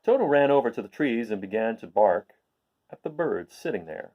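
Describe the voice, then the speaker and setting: A man reading a storybook aloud as a host, in an expressive, explanatory manner. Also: mid-range voice, moderate pace, phone-quality sound. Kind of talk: expressive storybook read-aloud with informal introduction.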